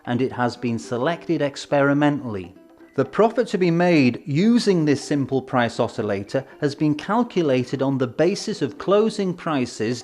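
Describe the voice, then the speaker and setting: A man presenting an educational film in a scripted, measured, explanatory way with emphatic phrasing. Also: on the high side, darkish tone. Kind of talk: scripted instructional narration. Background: background music.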